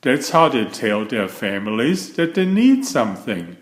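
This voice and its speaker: A man voicing a gentle mother bear in a children's storybook, explaining things patiently and soothingly. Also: mid-range voice, big pitch swings, unhurried, reverberant. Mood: patient, reassuring.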